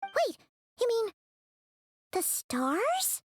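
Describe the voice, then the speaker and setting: A little fairy sidekick from an anime game with an extremely high, childlike voice. Her pitch bounces around a lot as she chatters in a perky, naive, bubbly way, drawing words out slowly. Music plays behind her. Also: wildly swooping pitch, a little hiss or hum, exaggerated voice. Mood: uncertain. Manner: refers to herself by name, bubbly, exclaiming.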